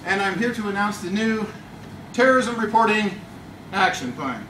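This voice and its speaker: A man plays a smug government spokesman, dry and condescending, and his voice sits high. He sounds distant in an echoey meeting room, with steady noise underneath. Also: normal speed. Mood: mock-official. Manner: dry, mock-official, condescending. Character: pompous male government spokesman, smug and bureaucratic. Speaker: Toastmasters contest speaker. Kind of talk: deadpan comic speech in character as a government official. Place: meeting room before a small audience.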